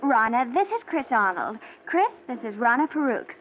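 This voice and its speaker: Genteel emotional young fiancee, high and a bit breathy, tinny 1940s radio. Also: female voice, sing-song, moderate pace. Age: 20s. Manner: pleading, hesitant, genteel. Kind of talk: 1940s radio drama acting.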